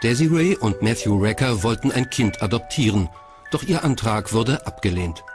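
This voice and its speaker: A man's voice: lowish voice, expressive, moderate pace, over music. A man reading scripted documentary narration as a narrator.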